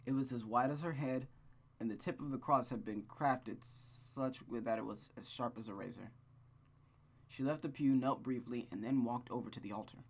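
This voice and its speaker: A gaming host reading a visual novel aloud with casual, rambling, expressive commentary. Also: medium pitch, moderate pace. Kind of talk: casual game commentary and read-aloud narration.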